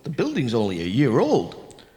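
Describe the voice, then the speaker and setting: An actor playing a deferential, anxious assistant lighthouse keeper in a radio drama, with a hesitant manner. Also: male voice, medium pitch, huge pitch swings, moderate pace, warm tone. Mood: worried. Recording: long hollow reverb, faint hiss.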